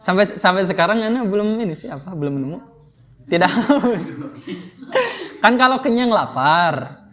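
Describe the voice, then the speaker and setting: High-pitched Indonesian man teaching. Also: expressive, normal speed, long pauses, a bit bright. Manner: informal, prompting with questions. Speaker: Arabic grammar teacher. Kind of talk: interactive lesson, explaining and quizzing students.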